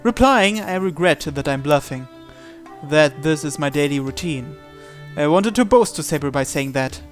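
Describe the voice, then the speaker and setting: A man's voice: on the high side, lively intonation, normal speed, faint music behind. A man narrating a visual novel in the first person, reading from the script.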